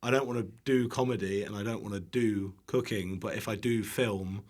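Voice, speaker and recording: A man's voice: fairly low pitch, fairly even intonation, normal speed, darkish tone. A young man with a British accent chatting casually as a podcast guest, self-deprecating and prone to swearing. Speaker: podcast guest, online cooking creator. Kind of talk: casual chat.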